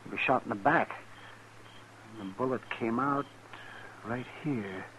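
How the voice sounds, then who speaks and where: An American actor playing a blunt, weary frontier town doctor in a radio western, muttering in a folksy, drawn-out way. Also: male voice, mid-range voice, bright, forceful tone, low-quality recording. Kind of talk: 1950s radio acting. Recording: hissy 1950s radio recording.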